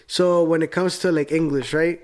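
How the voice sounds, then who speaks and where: Mexican guy on a podcast, kinda high voice, talks fast and loose with lots of like and bro. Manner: animated, fast-talking, says "like" and "bro" often. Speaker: podcast guest. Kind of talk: casual chat.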